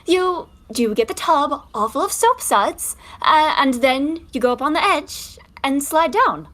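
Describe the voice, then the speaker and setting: Very high, exasperated prim sister. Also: female voice, animated, a little slow, fairly bright tone. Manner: huffy, scolding, clipped retorts. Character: exasperated, prim, impatient sister of a carefree bather. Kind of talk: theatrical 1920s stage comedy acting.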